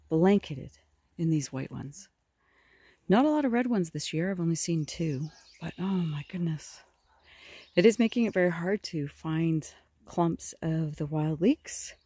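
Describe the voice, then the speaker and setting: A middle-aged woman walking through spring woods, filming on a phone held at arm's length, gently explaining how she forages. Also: fairly low pitch, husky. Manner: gentle, admiring, unhurried explaining. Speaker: forager showing her land. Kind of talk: casual outdoor how-to narration. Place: spring woodland outdoors by a river. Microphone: phone camera held at arm's length.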